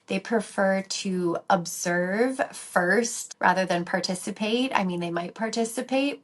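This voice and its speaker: A woman hosting her own podcast, her voice a bit raspy and lower than usual from a cold, talking in a chatty, rambling way. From South Dakota. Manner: chatty, rambling, friendly explainer. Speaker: podcast host, dental hygienist. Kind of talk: casual solo podcast talk. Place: workplace, on a lunch break.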